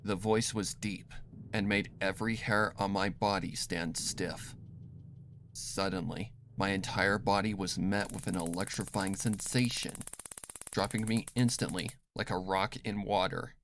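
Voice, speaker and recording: A story reader delivers dramatic first-person narration. The pace is slow and the manner is grave and hushed. Faint noise sits behind the voice. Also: low-pitched, fairly even intonation.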